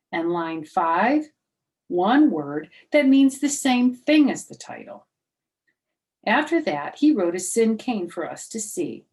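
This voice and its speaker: A woman storyteller reads a children's book aloud. Her delivery is animated, and she steps out of the text to speak to her listeners. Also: lowish voice.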